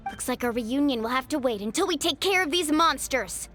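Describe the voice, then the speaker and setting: A woman's voice: high-pitched, expressive, fairly quick, over loud music. A woman voicing a confident, caring young adventurer in a video game, easygoing and encouraging. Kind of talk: video game character acting.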